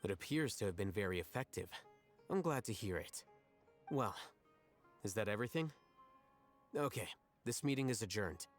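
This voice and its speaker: A man playing a calm, unsentimental strategist in a video game, matter-of-fact and deadpan. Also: on the low side, moderate pace, long pauses, close to an everyday voice. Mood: businesslike. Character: calm, dry, unsentimental young male strategist. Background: game music.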